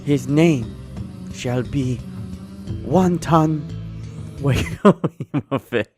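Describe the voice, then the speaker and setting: A man voicing a scheming male mad scientist in a gaming video, with a put-on Chinese accent and slow, solemn proclamations. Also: mid-range voice, a little mellow, faint music behind, voice pushed down.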